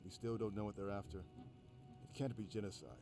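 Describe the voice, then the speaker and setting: Low-voiced wary fantasy fighter played by a man. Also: fairly even intonation, normal speed, music in the background, close to an everyday voice. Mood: grim, wary. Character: serious, wary male fantasy fighter, cautious and protective. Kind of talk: visual novel character acting. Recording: loud background noise and ambience.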